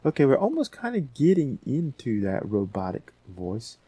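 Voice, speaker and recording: A man giving a casual software tutorial as host, rambling a little and thinking aloud as he talks through steps. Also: mid-range voice, huge pitch swings, moderate pace, breathy edge, no room sound.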